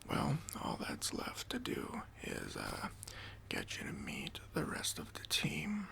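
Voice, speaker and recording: Someone playing a whispering, creaky, smug corporate boss. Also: very deep voice, wildly swooping pitch, fairly bright tone, voice pushed down, exaggerated voice. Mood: patronizing. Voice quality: breathy whisper with gravelly creak. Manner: condescending, awkward, hesitant pauses and hedging. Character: smug, self-important, clueless corporate boss interviewing an assistant. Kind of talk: whispered ASMR roleplay.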